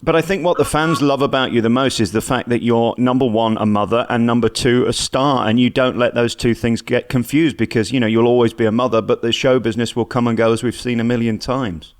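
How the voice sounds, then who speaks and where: Man interviewing, medium pitch. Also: fairly even intonation, fairly quick, hardly any pauses. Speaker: radio interviewer. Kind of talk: conversational celebrity interview.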